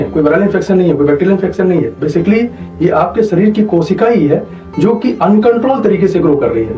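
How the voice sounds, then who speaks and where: A man's voice: sits high, mellow. A man explains medical matters didactically as an interview guest, repeating points and checking that he is understood. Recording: dull, echoey, distant mic, faint music behind.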